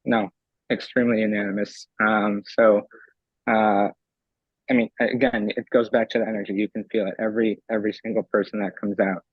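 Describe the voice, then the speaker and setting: A young man from Eastern Pennsylvania, a convention delegate whose voice is raspy from screaming, answering eagerly with many ums in a remote interview. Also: fairly low pitch, level tone, fairly quick, no echo, lo-fi sound. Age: young adult. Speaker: convention delegate and law student.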